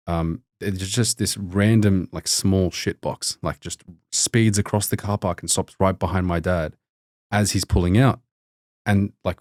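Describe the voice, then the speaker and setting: A young man tells a long, casual story in a low, deep voice. He rambles, restarts and fills gaps with "like" and "um". The sound is close and dry. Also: darkish tone, no room sound. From Australia. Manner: rambling, many restarts and fillers. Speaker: podcast guest, doctor.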